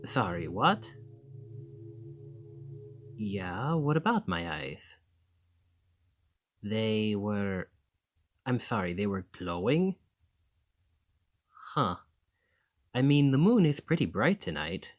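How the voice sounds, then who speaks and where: Charming, lively young male student, acted by a man. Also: on the low side, slow pace, pauses often, lo-fi sound, soft background music, exaggerated voice. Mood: friendly, coaxing.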